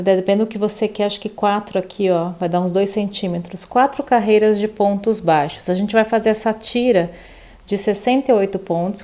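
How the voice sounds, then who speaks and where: A woman's voice: medium pitch, warm tone. A woman teaching crochet step by step, patiently counting stitches aloud and checking in with her viewers. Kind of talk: step-by-step craft tutorial.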